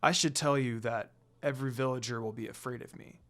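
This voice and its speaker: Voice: medium pitch, fairly even intonation, brisk, a bit bright, voice pushed down, close to an everyday voice. A narrator voicing a cool, aloof, commanding male dog demon lord with a murmuring, haughty way of talking.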